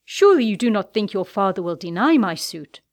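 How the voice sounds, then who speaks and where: A woman playing a proud, ardent young gentleman in an audiobook, speaking with formal, declamatory intensity. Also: mid-range voice, sing-song, fairly quick. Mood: assured, tender.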